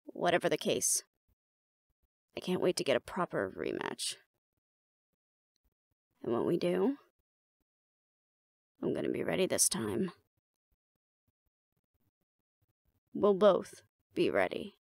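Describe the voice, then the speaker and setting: A woman playing a battle-seasoned, fond and teasing mate in a roleplay audio drama, talking casually and intimately with a gravelly creak and hesitant pauses. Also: low-pitched, very animated, a little mellow, dry recording, clean studio sound, exaggerated voice. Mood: determined.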